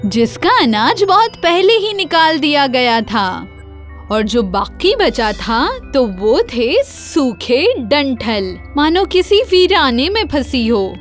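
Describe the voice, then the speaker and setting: A woman narrates a children's fairy tale as a scripted storyteller. Her voice is high. Soft music runs underneath. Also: big pitch swings. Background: faint music.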